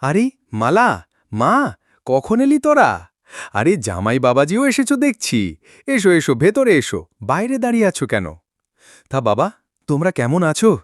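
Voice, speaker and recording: A man's voice: fairly high pitch, huge pitch swings, normal speed, long pauses, dry recording, clean studio sound. A man voicing a fond but authoritative middle-aged village father in a cartoon folk tale. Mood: delighted welcome.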